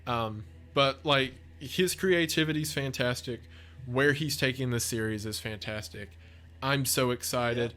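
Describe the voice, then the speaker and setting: A man's voice: medium pitch, a little slow, sharp tone. A man giving casual off-the-cuff video commentary, chatty, effusive and enthusiastic as a video creator. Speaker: video essay creator and narrator.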